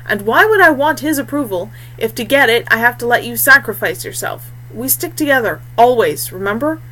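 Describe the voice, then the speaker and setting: A woman's voice: a little high, animated, brisk, pushed and bright, rough recording. Woman as an earnest, stubborn young warrior. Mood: insistent. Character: loyal, stubborn young male warrior bard.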